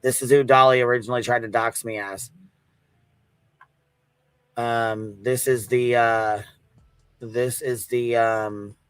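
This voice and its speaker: Flat-toned American man, casual and sweary. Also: medium pitch, takes it slowly, pauses often, smooth, dry recording, rough recording. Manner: informal, sweary, slangy. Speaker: livestream host and commentator. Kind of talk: casual livestream commentary, recounting a story.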